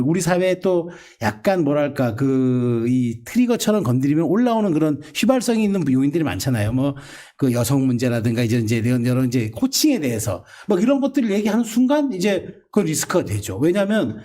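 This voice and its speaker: Fast, animated male pundit. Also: on the high side. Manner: animated, quick, restarts mid-sentence. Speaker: political commentator. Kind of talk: casual political panel talk.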